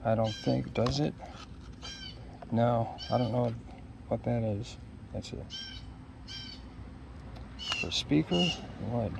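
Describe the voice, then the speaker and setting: A man's voice: medium pitch, takes it slowly, gravelly, pauses often. A middle-aged man chatting casually outdoors, musing to himself in short, hesitant fragments as he unboxes gear. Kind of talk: casual unscripted unboxing chat. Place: outdoors in a leafy yard. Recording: outdoor ambient noise behind voice.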